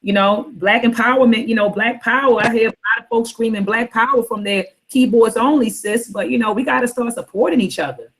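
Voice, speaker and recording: A woman's voice: on the high side, a little fast, hardly any pauses, fairly bright tone, poor audio. A woman hosting a casual online book club chat, rambling and repeating herself. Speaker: host.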